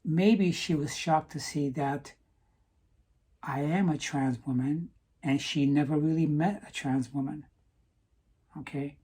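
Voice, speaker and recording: A woman talking earnestly to camera in a personal vlog, stopping to ask "okay?" for emphasis, with some halting restarts. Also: sits low, warm tone, breathy edge. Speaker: vlogger.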